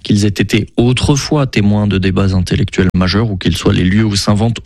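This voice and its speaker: A man presenting a radio news show, reading the news briskly. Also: fairly low pitch, steady tone, a little mellow, no echo, studio quality. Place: radio studio.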